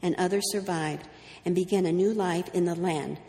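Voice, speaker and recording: Woman with a low voice in an echoey hall. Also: steady tone, moderate pace, dark tone. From New Mexico, USA. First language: Greek. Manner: hesitant, with many ums and restarts when answering. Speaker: speaker giving a history talk. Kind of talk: reading a story aloud, then answering audience questions. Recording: echoey hall, faint background noise.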